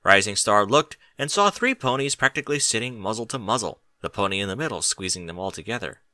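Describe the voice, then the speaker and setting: Bright, animated male storyteller. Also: lowish voice, quick pace, no room sound. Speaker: fan fiction reader. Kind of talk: expressive scripted story narration with character voices.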